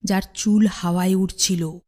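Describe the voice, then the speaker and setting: A woman narrates a children's fairy tale from a script. Her voice sits at a middle pitch, in the style of a cartoon storyteller. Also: fairly even intonation, normal speed, darkish tone.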